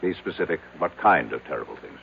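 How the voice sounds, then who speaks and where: A man's voice: a bass voice, expressive, fast, creaky, slight background noise, full character voice. An actor in an old-time radio drama playing a skeptical, domineering rich businessman who questions curtly and gives orders. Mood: impatient, demanding. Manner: curt, commanding, interrogating. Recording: tinny, band-limited old radio broadcast.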